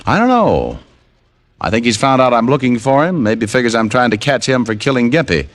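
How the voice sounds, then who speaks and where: An American man acting a wry, tough private detective in an old radio drama, narrating and talking in a deadpan way. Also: medium pitch, a little fast, no echo. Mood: serious. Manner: wisecracking, deadpan. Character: wry, tough male private detective, cool under threat. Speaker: lead actor and narrator. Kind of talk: 1940s-50s radio detective acting and first-person narration.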